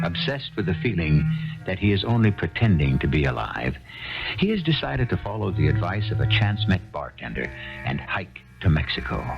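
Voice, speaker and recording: Old radio show host, super deep gravelly voice, theatrical and wry, music under him, muffled lo-fi. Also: male voice. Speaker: radio drama host and narrator. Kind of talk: scripted radio narration. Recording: dull lo-fi archival radio broadcast with music.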